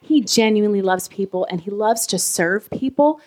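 A woman's voice: mid-range voice, animated, moderate pace. Heartfelt woman co-preaching. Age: 30s. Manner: warm, heartfelt, laughing often. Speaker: pastor's wife, co-preacher. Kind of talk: sermon. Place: church service.

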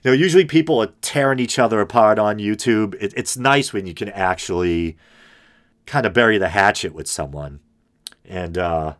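A middle-aged man with a low, droning, semi-gravelly voice hosts his own podcast. He rambles in a self-deprecating way, full of ums and restarts, pitched on the deep side. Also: moderate pace, fairly bright tone. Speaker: podcast host. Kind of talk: casual solo podcast monologue.

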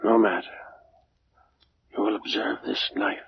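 An actor playing a weary, grieving, menacing immortal Egyptian priest's son in a hushed, brooding 1940s radio style. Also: male voice, on the low side, level tone, vocal fry, a little hiss or hum. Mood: quiet menace. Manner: slow, hushed, brooding, deliberate delivery. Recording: muffled vintage radio recording with music.

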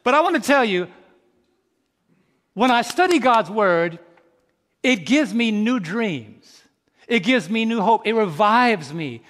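A man in his fifties with a high voice preaches to his congregation, tossing questions out for them to answer. He drops to a slow, quiet hush and then climbs into loud, ringing exhortation. His words hang in the long reverb of a large hall. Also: animated. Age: 50s. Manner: swings from soft, slow reflection to loud, rising exhortation. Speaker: pastor. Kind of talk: sermon.

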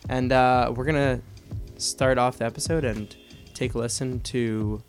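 A man's voice: medium pitch, steady tone, unhurried, soft background music. A man hosting a radio show, asking curious, conversational questions. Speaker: radio host. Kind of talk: radio interview. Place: radio studio.

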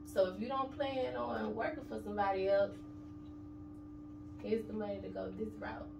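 A woman's voice: mid-range voice, fairly even intonation, normal speed, creaky. A woman in her thirties, an interview guest talking casually and bluntly about her kids and money. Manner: blunt, streetwise, emphatic. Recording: echoey room, distant mic, noisy background.

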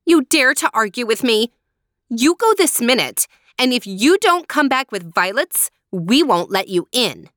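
A woman voicing a spoiled, lazy young girl who orders and shouts sharply in a storybook reading. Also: on the high side, very animated, a little slow, no echo, clean studio sound, pitched above the performer's own voice. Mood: bossy shouting.

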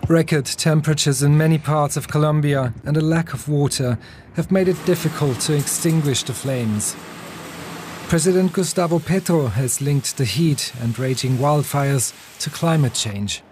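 A man's voice: a little high, measured pace, darkish tone, a little hiss or hum. A man narrating a scripted news report as a reporter.

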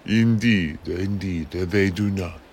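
A man playing a smug, smooth-tongued seafaring explorer captain in a fantasy audio drama, taunting with unhurried politeness. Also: deep voice, a little mellow, a little hiss or hum. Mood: calm taunting.

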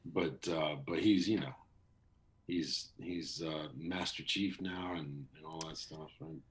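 A man in his thirties with a very low voice, talking loosely and at length as a guest. He rambles and restarts with plenty of fillers. The sound comes over a video call. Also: slow. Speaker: voice actor. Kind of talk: casual interview chat.

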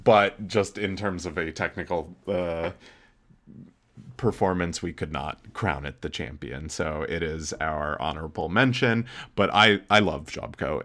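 A man with a very deep voice co-hosting a casual chat show. He talks in a laid-back way and chuckles along, padding his sentences with fillers. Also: fairly bright tone. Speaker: podcast co-host.

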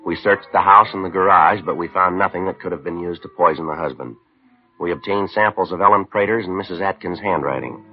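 An actor playing a stoic, courteous police detective sergeant in a 1950s radio crime drama, deadpan and clipped. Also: male voice, deep voice, brisk, lightly acted. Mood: flat, factual. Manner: deadpan, clipped, matter-of-fact. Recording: dull lo-fi 1950s radio recording.

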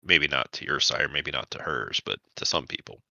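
A man narrating a tabletop roleplaying game as game master, in measured, deliberate phrases with pauses. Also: extremely low pitch, lively intonation, vocal fry, sharp tone, no echo, muffled recording.

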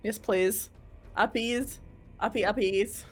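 A woman's voice: mid-range voice, fairly even intonation, slow, slightly rough, soft background music. A woman playing in a tabletop game, chatting in character in a bubbly, cheerful, slightly ditzy way. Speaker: player. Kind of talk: casual in-character table chat.

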